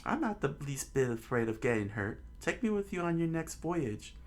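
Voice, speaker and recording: A man's voice: on the high side, very animated, moderate pace, voice pushed up. A man voicing a brash young boy who wants to be a pirate, boastful and eager.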